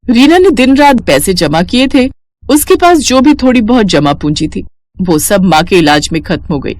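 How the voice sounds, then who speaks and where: A woman's voice: medium pitch, very animated, moderate pace, a little mellow, no echo. A woman narrating a scripted story as its storyteller.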